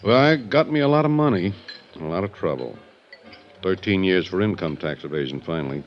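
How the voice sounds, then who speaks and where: Aging ex-bootlegger fresh from prison, low, musing, world-weary, wry, in muffled vintage radio drama. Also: male voice, expressive, brisk. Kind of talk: 1950s radio drama acting. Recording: muffled 1950s radio recording with music.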